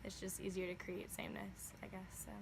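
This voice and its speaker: A young woman student giving hesitant on-camera answers, pausing often with ums. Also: medium pitch, completely flat delivery, vocal fry, slight background noise. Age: young adult. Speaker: student interviewee.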